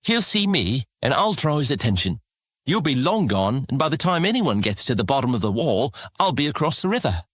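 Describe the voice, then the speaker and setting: Lively, goading young street rat. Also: male voice, medium pitch, fairly quick, no echo, clean studio sound. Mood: eager, scheming. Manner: teasing, goading, persuasive. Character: mischievous, cajoling young male street rat. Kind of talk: dramatized fantasy audio serial acting.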